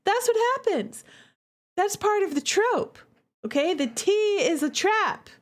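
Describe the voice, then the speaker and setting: A woman chatting casually on a livestream as a game developer, theatrical and animated, with conversational asides to viewers. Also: very high voice, measured pace. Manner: animated, theatrical, acts out reactions.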